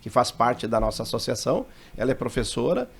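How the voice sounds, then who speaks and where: A man from southern Brazil joins a radio interview, talkative and full of local facts. His voice is mid-pitched with a gravelly edge. He speaks in a studio setting. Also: very animated, a little fast. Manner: talkative, lists places and facts. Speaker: local association member.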